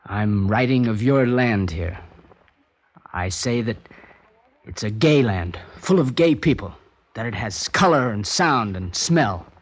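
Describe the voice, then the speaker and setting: An actor playing a thoughtful American poet with an American accent, in a 1950s radio drama. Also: male voice, lowish voice, slow. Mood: reflective. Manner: calm, wry, thoughtful. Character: reflective American poet abroad, a man. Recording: vintage radio broadcast with faint hiss.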